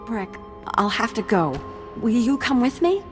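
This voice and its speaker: An earnest, wounded young woman in a game drama. The voice sits fairly high and is full of hurt, with music clearly underneath. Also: very animated, measured pace. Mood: sorrowful. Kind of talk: dramatic video game acting.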